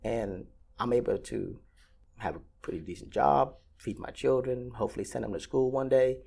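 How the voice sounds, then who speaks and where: A man's voice: medium pitch, moderate pace, gravelly, a little mellow, breathy edge. A Vietnam-born ramp agent in his thirties, answering interview questions casually with many fillers and restarts.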